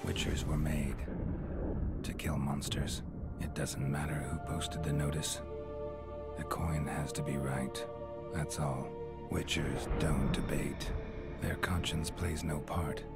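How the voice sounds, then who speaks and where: An actor playing a weary, stoic monster hunter in a video game, deadpan and restrained, with a gravelly low voice. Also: male voice, slow, heavily acted. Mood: brooding, hushed. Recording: loud music behind. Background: loud game music.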